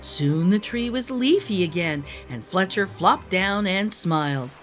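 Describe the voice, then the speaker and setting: Animated woman reading a children's story. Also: mid-range voice, measured pace, faint music behind. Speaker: children's book narrator. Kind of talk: expressive storybook read-aloud.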